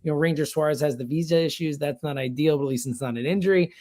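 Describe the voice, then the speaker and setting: A man's voice: fairly high pitch, quick pace, darkish tone. A man giving blunt, opinionated sports analysis as a guest commentator, in casual, slangy and emphatic talk. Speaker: sports radio producer and host, guest commentator.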